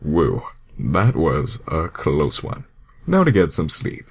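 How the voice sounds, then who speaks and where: A man's voice: rumbling low, huge pitch swings, takes it slowly, slightly rough, pitched below the performer's own voice, full character voice. A man voicing a friendly, easily startled cartoon hedgehog hero in a fan fiction reading. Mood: relieved.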